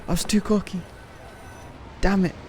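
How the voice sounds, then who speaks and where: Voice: medium pitch, steady tone, normal speed, background noise. A gaming streamer giving casual, sweary, self-mocking commentary, sometimes muttering. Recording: game music behind the voice.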